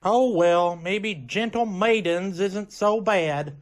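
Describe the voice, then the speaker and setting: A young college bandleader type played by a woman in a radio comedy. The voice sits at a middle pitch, blunt, slangy and matter-of-fact. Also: slow, close to an everyday voice. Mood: blunt, resigned. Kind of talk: old-time radio comedy acting.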